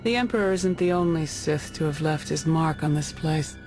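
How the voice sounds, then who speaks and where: A fairly low woman's voice over faint music. A woman acts out a serene, perceptive mystic leader in a video game, grave and composed, choosing words deliberately. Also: a little mellow, close to an everyday voice. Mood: grave, watchful.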